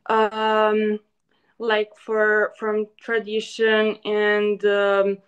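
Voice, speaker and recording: Slow, hesitant woman. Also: mid-range voice, monotone, dull audio. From Serbia. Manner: hesitant, many "uh" pauses. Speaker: former master's student and business owner. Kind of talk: panel interview answer.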